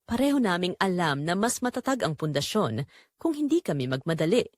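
A woman's voice: fairly low pitch, sing-song, a little fast, dry recording, studio quality. A young woman with a Filipino accent narrating a scripted romantic drama in the first person, earnest and reflective.

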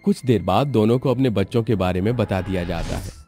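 A man's voice: lowish voice, fairly quick, mellow, soft background music. A man narrating a scripted family story as a storyteller.

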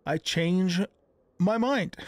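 A man's voice: sits high, a little hiss or hum. A middle-aged man giving casual gameplay commentary, thinking aloud in a conversational way. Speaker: gaming video host.

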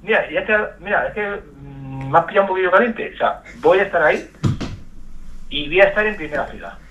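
A man's voice: high-pitched, lively intonation, fairly quick, a bit bright, slight background noise. A man from Spain on a telephone call, arguing in a defiant, insistent and repetitive way. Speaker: amateur runner receiving a prank call. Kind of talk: heated phone argument. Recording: dull lo-fi phone call.

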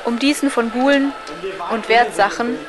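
A woman narrates a scripted newsreel report. Her voice sits fairly high, and she speaks as a reporter presenting the events. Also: moderate pace, crowd noise.

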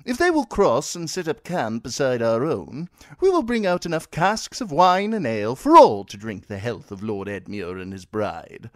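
A man voicing a smooth, courteous young nobleman who speaks in a formal, overly polite way. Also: medium pitch, sing-song, moderate pace, hardly any pauses, fairly bright tone, no echo, studio quality, voice pushed up. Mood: courtly.

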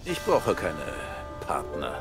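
A gruff, guarded detective in a crime game, with a somewhat low voice that stays quiet and curt. Music plays clearly behind the game audio. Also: male voice, huge pitch swings, slow pace, heavily acted. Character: gruff male police detective, middle-aged, guarded. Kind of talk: German game dub.

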